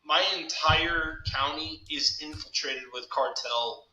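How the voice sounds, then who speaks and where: A man's voice: fairly high pitch, takes it slowly, crowd noise. A man in his thirties co-hosting a podcast, talking emphatically and heatedly, full of "like" fillers. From United States. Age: 30s. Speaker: podcast co-host. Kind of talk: casual political chat. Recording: echoey room.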